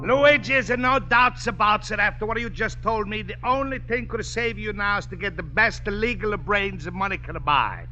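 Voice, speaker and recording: An actor playing a scheming, boisterous Italian immigrant landlord in a radio comedy, with an Italian accent and wheedling, bombastic broken English. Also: male voice, sits high, expressive, moderate pace, few pauses, over music. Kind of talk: 1950s radio comedy acting. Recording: muffled, hissy 1950s radio recording.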